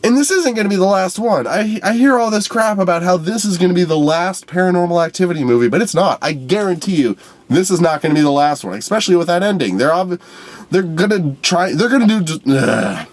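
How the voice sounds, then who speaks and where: A young man with a stuffed-up nose giving an unscripted, profane movie review rant, swinging between loud outbursts and low mutters. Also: lively intonation, brisk, no echo.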